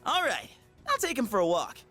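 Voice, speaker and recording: An actor voicing an easygoing, goofy high-school slacker in a video game, casual and exclamatory. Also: male voice, wildly swooping pitch, a little fast, sharp tone, faint music behind, exaggerated voice.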